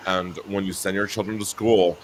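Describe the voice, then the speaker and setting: A man with a very deep, low voice chats easily, with frequent laughs and bursts into a higher voice when he imitates himself. A faint hiss of background noise sits under the recording. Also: little pitch movement, moderate pace. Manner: laughing, breaks into high shouty voice when mimicking himself. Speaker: guest on a talk show. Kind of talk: casual chat. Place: New Mexico home.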